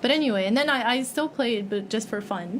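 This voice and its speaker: A woman's voice: a little high, animated, very fast, slight background noise. A young woman from Egypt, a guest chatting casually in an interview, rambling with lots of fillers and small laughs.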